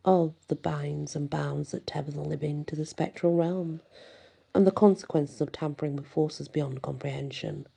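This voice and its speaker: A woman reading a horror story in ASMR style, with a slow, dramatic delivery that drops toward whispers. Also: low-pitched, creaky, dark tone, husky. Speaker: story narrator.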